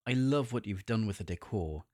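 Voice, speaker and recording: A man voicing a smooth-talking, flirtatious German tourist with a German accent, suave and deliberate, for an erotic audio roleplay. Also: lowish voice, lively intonation, darkish tone, no echo, studio quality, lightly acted.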